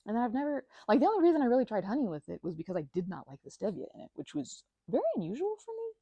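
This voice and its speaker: A woman's voice: a little high, very animated, rapid-fire, dark tone, breathy edge, no room sound, muffled recording. A woman doing a casual ASMR roleplay as a chatty, scatterbrained best friend, rambling playfully from one tangent to the next.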